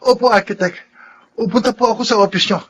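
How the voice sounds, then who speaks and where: A man's voice: sits high, fast, a bit bright, slight background noise. A male actor playing an earnest, heartbroken young working man in a Tagalog radio melodrama. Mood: respectful, grateful.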